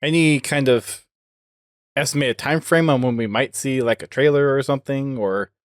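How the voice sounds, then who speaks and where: A man's voice: medium pitch, moderate pace, smooth, dry recording, studio quality. A man hosting a podcast interview, asking questions in a casual, conversational way. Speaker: podcast host.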